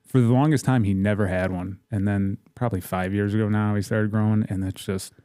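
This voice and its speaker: Co-host, man, fairly low and warm, easygoing banter. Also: steady tone, fairly quick, smooth, no room sound, studio quality. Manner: laid-back, joking. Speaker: podcast co-host, firefighter. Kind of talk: casual chat. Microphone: studio mic.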